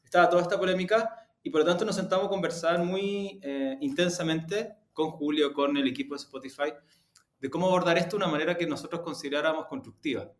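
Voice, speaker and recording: A man's voice: fairly high pitch, quick pace. Man in an echoey room, explaining hesitantly. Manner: hesitant, searching for words, explanatory. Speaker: media executive, interview guest. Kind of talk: conversational interview answers. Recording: roomy, echoey sound.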